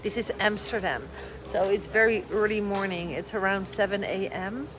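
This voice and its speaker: A woman's voice: mid-range voice, fairly even intonation, normal speed, over music. A middle-aged woman from the Netherlands with a Dutch accent, chatting on a casual live stream, a little short on sleep and a bit scattered. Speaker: vlogger giving advice. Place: airport departure area. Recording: noisy airport live stream. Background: airport ambience.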